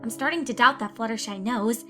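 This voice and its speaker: A woman voicing a guilt-ridden, paranoid young pony student, reading her confessional letters in an anguished, highly emotional way as she unravels. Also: fairly quick, faint music behind, lightly acted. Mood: nervous, composed. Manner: anguished, highly emotional, swinging from hushed to screaming.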